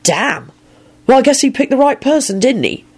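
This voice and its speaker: Narrator doing a nervy, stubborn young man, higher-pitched. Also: sing-song, moderate pace, slightly rough, exaggerated voice. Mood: amazed. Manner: mumbling, sweary, nervy. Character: stubborn, sheepish young man, William, awkward and wary.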